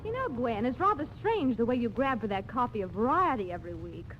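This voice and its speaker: A woman's voice: a little high, very animated, brisk, over music. A woman playing a dry, knowing companion of a stage star in 1940s radio drama. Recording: muffled 1940s radio broadcast.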